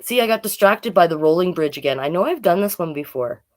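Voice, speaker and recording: A woman's voice: lowish voice, lively intonation, quick pace. A woman hosting a children's storytime livestream, chatting casually and reading out facts, often getting sidetracked and correcting herself.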